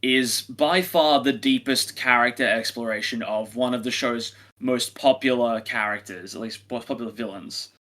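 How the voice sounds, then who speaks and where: A man's voice: mid-range voice, normal speed, few pauses, sharp tone. A man co-hosting a review podcast in casual, opinionated discussion, emphatic, sarcastic and prone to swearing. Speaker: podcast co-host reviewing audio dramas.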